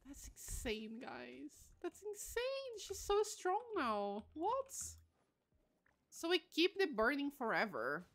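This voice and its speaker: A woman's voice: high-pitched, very animated, takes it slowly, fairly bright tone. A woman in her late twenties, a bit tired, chatting casually and candidly as she livestreams a game, giggly and self-deprecating. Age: late 20s.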